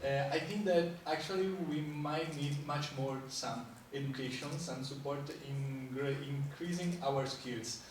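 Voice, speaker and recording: A man's voice: mid-range voice, a little slow, few pauses, slight background noise. Hesitant man on a panel. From Italy. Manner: hesitant, searching for words, self-deprecating. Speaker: entrepreneur guest. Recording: echoey hall, voice from a distance.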